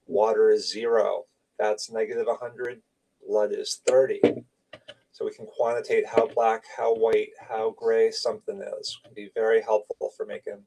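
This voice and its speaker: A man's voice: medium pitch, darkish tone, recorded from a distance, poor audio. A man giving an informal medical lecture, explaining slides in clipped, conversational phrases. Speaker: radiology lecturer.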